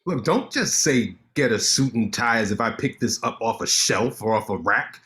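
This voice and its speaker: An actor playing a cocky, sharp-tongued male lawyer in a staged play reading, teasing his friend with quick banter. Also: medium pitch, lively intonation, lightly acted.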